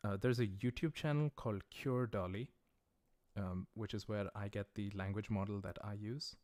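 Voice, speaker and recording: A man teaching a livestreamed lesson in a relaxed, conversational way, with many "uh" and "um" fillers, encouraging viewers as he recommends study resources. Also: lowish voice, normal speed, dry recording. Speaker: language teacher.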